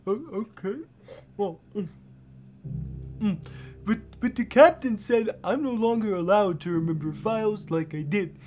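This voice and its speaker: A woman playing a childlike, lonely and eager records clerk in an audio drama, with a giggly, halting, naive delivery. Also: medium pitch, darkish tone, faint music behind, close to an everyday voice. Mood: hesitant, earnest.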